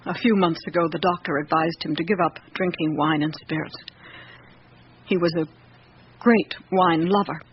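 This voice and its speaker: A woman's voice: lowish voice, steady tone, moderate pace, close to an everyday voice. Woman playing a hesitant elderly female servant. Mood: subdued. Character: loyal elderly female servant of a count, troubled. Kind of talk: radio drama acting. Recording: muffled, noisy old radio recording.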